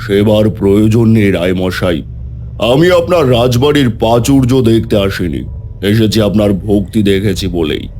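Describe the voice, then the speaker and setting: Man acting an ominous, dark-voiced holy man. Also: on the low side, moderate pace, slight background noise, natural voice. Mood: grave warning. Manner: grave, portentous. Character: ominous, boastful holy man and tantric with grave authority. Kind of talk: dramatic horror cartoon voice acting.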